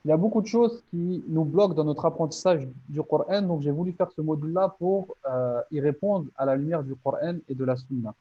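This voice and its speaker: A man with a fairly high voice presents his course in an earnest, encouraging way, laying out each step and often restarting a phrase mid-thought. The recording sounds a little dull and muffled. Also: a little fast, few pauses. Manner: earnest, motivating, explains step by step with many restarts. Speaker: Islamic teacher presenting his online course.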